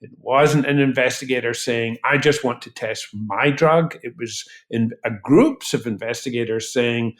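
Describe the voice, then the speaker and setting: A man speaking as an expert interview guest, explaining in emphatic, articulate, measured phrases. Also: medium pitch. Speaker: physician researcher, interview guest.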